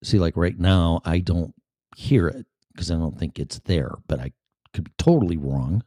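A man's voice: low voice, animated, moderate pace, warm tone, no room sound, studio quality. A man with a Chicago accent hosting his live-stream show in a chatty, profane, self-deprecating ramble. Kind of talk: casual rambling monologue.

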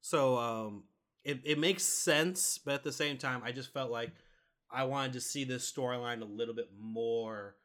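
A man co-hosting a podcast, giving a casual review and explaining things in a hesitant way, pausing mid-thought. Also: mid-range voice, fairly bright tone. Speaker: podcast co-host reviewing a film.

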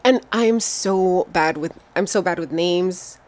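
A woman's voice: fairly low pitch, slow, darkish tone. A woman guesting on a podcast, chatting casually and laughing often. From United States, family in Mexico. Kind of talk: casual chat.

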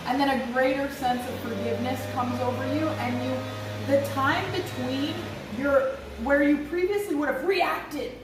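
A woman's voice: high-pitched, fairly even intonation, normal speed. A woman guest speaking candidly and bluntly, swearing freely as she tells her story. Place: outdoors on vacation, lawn equipment nearby. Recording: distant, echoey, noisy outdoor livestream audio.

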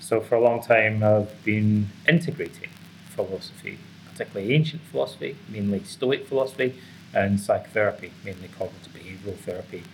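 A man's voice: lowish voice, fairly quick. A middle-aged Scottish man giving explanatory, academically phrased answers as an interview guest. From Scotland. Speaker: interview guest, author and psychotherapist. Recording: clearly noisy background ambience.

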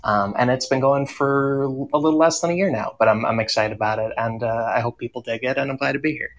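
A man talks casually as a podcaster on a panel. His voice sits at a medium pitch, and he speaks in an unscripted, conversational way. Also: fast talker, muffled recording. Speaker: podcaster and comedy writer. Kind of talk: casual self-introduction on a panel.

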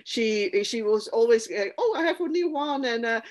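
A woman's voice: on the high side, animated, moderate pace. A woman from Cuba who grew up in Curacao, a native Spanish speaker, chatting as a podcast guest, hesitant with many fillers and restarts. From Cuba, raised in Curacao. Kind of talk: casual interview chat.

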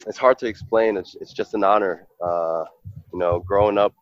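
A man with a very deep voice talks thoughtfully through his answers, pausing on fillers and starting over. The recording is dry with little room sound, and a bit dull in the highs. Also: fairly even intonation, dry recording. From New York. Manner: earnest, reflective, with many fillers and restarts. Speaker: professional goalkeeper. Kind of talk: interview answers.

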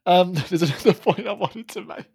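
A man's voice: high voice, normal speed, gravelly, a little mellow, husky, dry recording. A man with a Brummie accent co-hosting a podcast, chatting casually and rambling off on tangents. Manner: rambling, chatty, trails off mid-thought. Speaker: podcast co-host. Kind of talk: casual chat.

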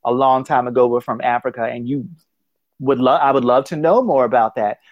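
A man's voice: medium pitch, darkish tone, no room sound. Rambling, thoughtful man on a dry call. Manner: thoughtful, rambling, many restarts and affirmations. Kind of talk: casual conversation over a call. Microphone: phone call.

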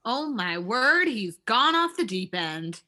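A bright, sharp-voiced office worker in a radio comedy, played by a woman. She speaks slowly and a little high, with melodramatic shock. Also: big pitch swings, full character voice. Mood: alarmed. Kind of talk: improv podcast radio play acting.

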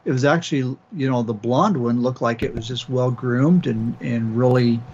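A man's voice: mid-range voice, normal speed, darkish tone, lo-fi sound. A man co-hosting a casual podcast chat, hesitant and full of fillers as he reads listener questions. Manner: hesitant, many fillers and restarts. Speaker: podcast co-host.

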